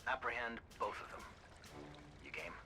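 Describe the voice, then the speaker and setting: A man playing a composed, middle-aged spy team leader giving measured, businesslike mission briefings in a video game. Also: mid-range voice, background noise, lightly acted. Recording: loud music behind the voice. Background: loud music.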